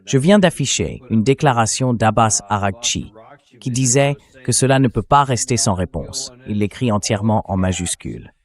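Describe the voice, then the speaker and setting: Lower-pitched male interview host. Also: animated, normal speed. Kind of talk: dubbed translation of a news interview.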